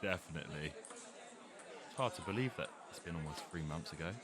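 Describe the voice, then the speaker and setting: A man's voice: a bass voice, lively intonation, a little fast, crowd noise. A young man acting an easygoing, chatty teenage skater boy in a scripted audio drama, with a casual conversational delivery. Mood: upbeat, teasing. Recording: clearly noisy amateur recording.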